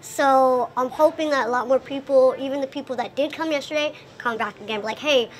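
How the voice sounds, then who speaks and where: A young woman with a very high voice talks casually in an interview. She is chatty and often slips into quoting other people as she explains things. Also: fairly quick, a little hiss or hum. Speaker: performer being interviewed. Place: indoor lounge by a window.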